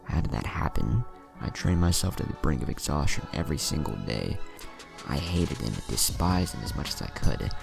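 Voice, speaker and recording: An arrogant, resentful young ninja read by a grown man speaks smugly and bitterly in a very deep, gravelly voice, music behind. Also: sing-song, normal speed, heavily acted. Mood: bitter disbelief. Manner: smug, bitter.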